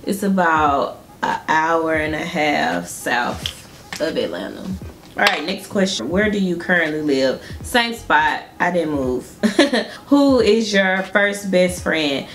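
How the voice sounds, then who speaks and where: A woman's voice: lowish voice, lively intonation, slow, fairly bright tone. A young woman from Georgia with a Southern accent, chatting casually with viewers while doing her makeup at home. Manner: chatty, laughs often, addresses viewers directly. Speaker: beauty vlogger. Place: small indoor room at home.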